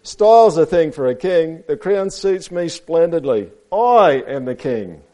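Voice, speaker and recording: A man's voice: a little high, big pitch swings, unhurried, mellow, pitched above the performer's own voice. A man voicing a vain, haughty, style-obsessed flamingo in a storybook, with a spluttering manner.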